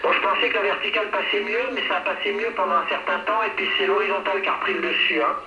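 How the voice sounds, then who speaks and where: A man's voice: sits high, fairly even intonation, racing. A man talking casually and hesitantly over two-way radio, in a chatty, friendly way. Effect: two-way radio transmission. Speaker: amateur radio operator. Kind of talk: casual radio contact chat. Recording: roomy, distant, dull noisy radio transmission.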